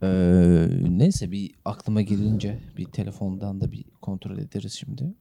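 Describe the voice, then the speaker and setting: Man, gravelly fry, dry studio-like sound, relaxed casual podcast chat. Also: lowish voice, moderate pace, no room sound. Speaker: podcast co-host.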